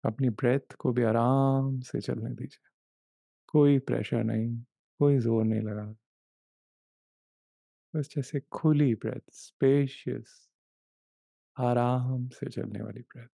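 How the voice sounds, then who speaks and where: A man leading a guided meditation, giving gentle, unhurried instructions in short repeated phrases with long pauses. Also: mid-range voice, steady tone, vocal fry, no room sound. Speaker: meditation guide, life coach.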